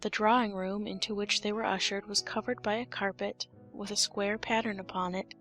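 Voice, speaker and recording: A woman's voice: mid-range voice, level tone, normal speed, fairly bright tone, soft background music. A woman reading scripted storybook narration as an audiobook narrator.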